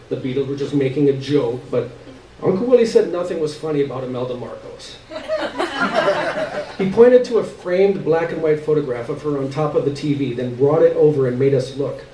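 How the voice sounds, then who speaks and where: A man's voice: a little high, warm tone. A man giving a live dramatic reading of first-person literary fiction on stage. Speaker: reader of a short story. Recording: echoey room, distant mic, background noise.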